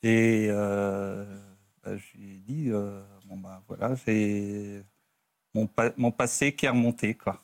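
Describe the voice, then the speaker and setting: A middle-aged man, a talk show guest recalling his own life in a halting, plain-spoken, casual way. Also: on the low side, little pitch movement, dry recording. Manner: halting, plain-spoken, often tags phrases with a filler. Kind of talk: casual personal recollection. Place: TV studio.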